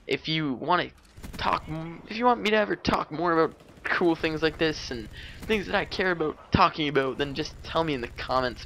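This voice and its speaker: A man's voice: on the high side, fairly bright tone, slight background noise, rough recording. Rambling young Canadian gamer guy. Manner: rambling, chatty, many fillers and restarts. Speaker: gaming commentator. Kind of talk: casual gameplay commentary.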